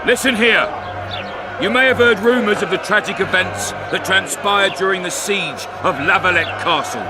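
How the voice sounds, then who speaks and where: An actor playing a brash, scornful town commandant in a video game, coarse and menacing. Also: male voice, sits high, loud music behind the voice, a loud crowd, close to an everyday voice. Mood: declamatory. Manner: barking, scornful, slow deliberate delivery.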